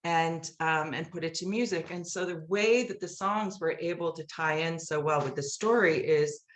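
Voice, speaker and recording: A woman's voice: low voice, moderate pace. A middle-aged woman chattily telling stories as an interview guest, often acting out remembered dialogue. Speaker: guest, musical playwright. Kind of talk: animated interview storytelling. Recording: roomy, echoey sound.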